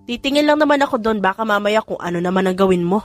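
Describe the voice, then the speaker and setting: A woman's voice: mid-range voice, lively intonation, fast, natural voice. Woman voicing an authoritative older matriarch, music behind. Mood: stern. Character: older wealthy matriarch, hospital owner, firm but fond mother. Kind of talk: audiobook character dialogue.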